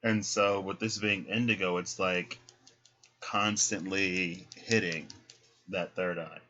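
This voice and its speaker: A man giving a casual spiritual tarot reading, explaining each card in a relaxed way and often tagging "right?" onto his points. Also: deep voice, slow pace. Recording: dull lo-fi sound.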